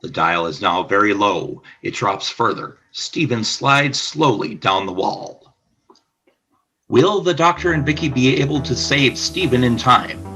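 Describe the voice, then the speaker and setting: A man narrating a scripted radio play as storyteller, with a husky voice. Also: medium pitch, expressive, unhurried, sharp tone, rough recording.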